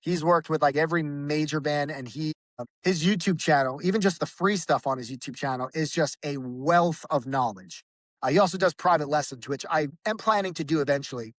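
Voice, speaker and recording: Fairly high-voiced man, rambling guest. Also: brisk, no echo, clean studio sound. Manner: rambling, with frequent restarts and fillers. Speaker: podcast guest, band vocalist. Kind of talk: casual conversation.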